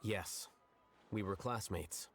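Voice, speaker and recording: A man playing an aloof, blunt young scholar in a video game, speaking in a measured, deadpan and formal way. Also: lowish voice, creaky, over loud music. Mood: dismissive, cool.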